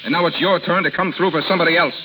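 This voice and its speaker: A man's voice: fairly high pitch, steady tone, rapid-fire, sharp tone, slight background noise, rough recording. A man acting in a 1930s radio drama as a determined, earnest lover, speaking in an insistent, forthright way. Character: determined, earnest male lover confronting his rival. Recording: old 1930s radio recording, music behind.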